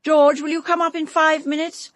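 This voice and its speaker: An actress playing an imperious, theatrical stage-star wife in a radio drama, commanding and pointed beneath sweet endearments. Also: female voice, high-pitched, level tone, moderate pace, a bit bright, no room sound, studio quality. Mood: brisk command.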